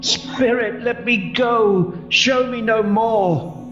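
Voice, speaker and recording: A man playing a frightened, pleading old miser in a radio play, speaking haltingly. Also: extremely high pitch, a bit bright, full character voice. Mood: anguished, pleading. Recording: echoey, muffled, music behind.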